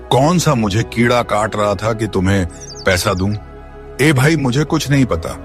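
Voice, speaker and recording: A man voicing a greedy, bullying village landlord in a cartoon story, sneering, blunt and domineering. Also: fairly low pitch. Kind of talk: Hindi cartoon moral-story voice acting.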